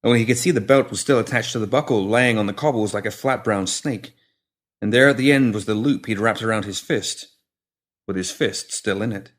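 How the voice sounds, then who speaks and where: A man's voice: lowish voice, fairly quick. A man reading a scripted story as an audiobook narrator, in descriptive prose. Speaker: story reader.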